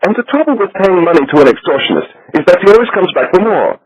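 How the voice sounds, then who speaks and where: A man's voice: sits high, big pitch swings, quick pace, breathy edge, no echo, voice pushed up, exaggerated voice, rough recording. A man voicing a prim, nervous middle-aged Swiss banker who speaks in a fussy, precise, defensive way. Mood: indignant.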